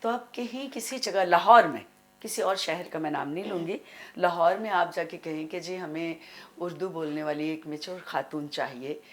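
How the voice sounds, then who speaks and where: A woman's voice: fairly low pitch, moderate pace. A middle-aged Pakistani woman talking emphatically as a guest in a casual talk show conversation, repeating phrases to drive her point home. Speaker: guest, dubbing and voice-over artist.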